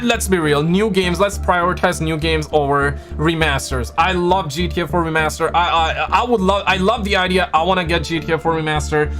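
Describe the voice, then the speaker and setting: A man's voice: on the high side, a little fast, hardly any pauses, a bit bright, faint music behind. A man in his twenties chatting casually on a live stream, slangy and stammering, often repeating words and swearing offhand. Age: 20s. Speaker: streamer reacting to gaming news.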